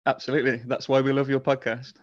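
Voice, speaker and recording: A modest man talks as a podcast guest in an even, measured way. His voice stays flat, and the recording sounds dull and dry, like a remote line. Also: mid-range voice, dry recording. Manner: modest, measured, chuckles often. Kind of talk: casual podcast interview.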